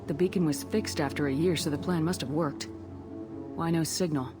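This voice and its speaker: A woman's voice: low-pitched, normal speed, close to an everyday voice. Woman playing a cool, clipped military commander. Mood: cool, questioning. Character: cool-headed female military commander, probing. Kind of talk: scripted video game dialogue. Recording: loud game music behind.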